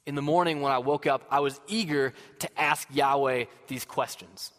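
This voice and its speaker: A man playing a weary, passionate older prophet in a dramatic monologue to a congregation, earnest and swinging from fervent outbursts to hushed sadness. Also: mid-range voice, moderate pace, lightly acted. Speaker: dramatic first-person storyteller. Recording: long hall reverb.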